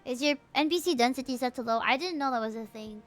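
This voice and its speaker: A woman's voice: high-pitched, fast talker, faint music behind. A woman livestreaming a video game in a casual, playful, gushing fangirl manner, chatting to her viewers. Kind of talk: casual livestream chat and reading game dialogue. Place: livestream over game audio.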